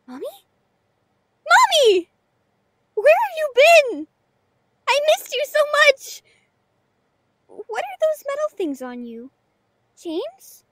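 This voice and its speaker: A woman's voice: extremely high pitch, huge pitch swings, slow, long pauses, fairly bright tone, heavily acted. A woman voicing an innocent, feisty little girl with a childish, pleading way of talking in an audio drama. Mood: worried, pleading. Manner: childish, pleading, simple phrasing.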